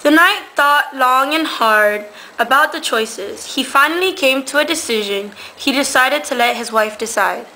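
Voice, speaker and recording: High, bright young woman storyteller. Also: a little slow, low-quality recording. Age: teenager. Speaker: narrator. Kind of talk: scripted storytelling narration. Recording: amateur recording with faint noise.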